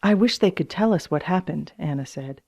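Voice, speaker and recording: Mellow woman reading a children's storybook. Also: on the low side, lively intonation, moderate pace, vocal fry, no room sound, studio quality. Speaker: storybook reader. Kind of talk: scripted narration of a children's pony story.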